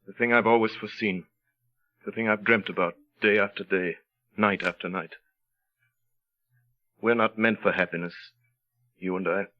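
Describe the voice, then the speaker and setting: An actor playing a haunted, bitter, despairing husband in 1930s radio drama, with a brooding, halting delivery. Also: male voice, lowish voice, steady tone, lightly acted. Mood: grim resignation. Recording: muffled old radio recording.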